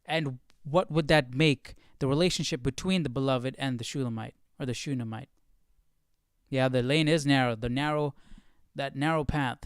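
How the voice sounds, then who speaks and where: A man's voice: medium pitch, moderate pace, clean tone, dry recording. Questioning man with a dry, close sound. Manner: questioning, puzzling things through aloud. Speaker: host of a live Bible study stream. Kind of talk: casual study commentary, thinking aloud.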